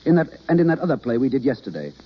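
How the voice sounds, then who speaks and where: A man's voice: mid-range voice, sing-song, rapid-fire, husky. An actor playing an earnest, reserved male drama coach, formal and hesitant, then fervent, in vintage radio drama style. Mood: earnest, instructive. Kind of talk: 1930s-40s radio drama acting. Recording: dull old radio broadcast recording.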